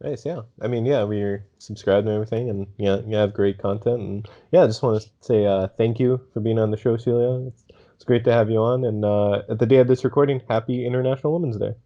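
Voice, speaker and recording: A man's voice: lowish voice, steady tone, fast. A man co-hosting a podcast in casual conversation, with an easygoing, agreeable manner, on slightly dull audio. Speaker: podcast co-host.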